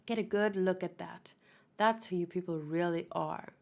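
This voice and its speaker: A woman's voice: lowish voice, normal speed, creaky. A woman reading aloud a manga's contemptuous, bigoted soldier in a cold, condescending manner. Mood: cold contempt.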